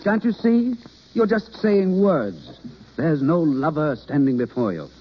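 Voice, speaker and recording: A man's voice: a little high, big pitch swings, unhurried, slight background noise. An actor playing an earnest, reserved male drama coach, formal and hesitant, then fervent, in vintage radio drama style. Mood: earnest, instructive. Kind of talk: 1930s-40s radio drama acting. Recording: dull old radio broadcast recording.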